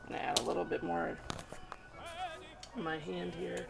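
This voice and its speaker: A woman with a gravelly edge to her voice walks through a home recipe as she films herself. She talks in a casual, instructive way, full of fillers. Music plays under her voice in the home kitchen. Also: on the low side, flat delivery, normal speed. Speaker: home cook filming herself. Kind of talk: casual cooking demonstration. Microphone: handheld camera. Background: food sizzling in a pan.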